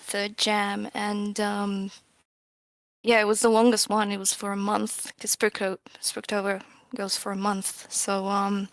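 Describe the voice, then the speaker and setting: A woman talks casually as an interview guest in a mid-range voice with a noticeable creak. She is a bit hesitant and lets phrases trail off. The sound is dry, with little room to it. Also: medium pitch, monotone, a little slow, no room sound. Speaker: game developer, interview guest.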